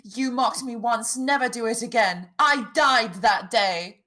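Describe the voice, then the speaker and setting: A woman's voice: fairly high pitch, unhurried, sharp tone, faint music behind. Woman playing a proud, wounded fairy-tale noblewoman. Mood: wounded, defiant. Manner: haughty, wounded, intense. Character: proud, grieving young noblewoman, bitterly defiant. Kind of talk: dramatic table read of a fairy tale.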